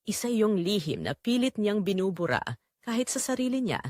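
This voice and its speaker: A woman narrating a romantic drama in a measured, sentimental storytelling manner. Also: mid-range voice, very animated, a little mellow, dry recording, clean studio sound.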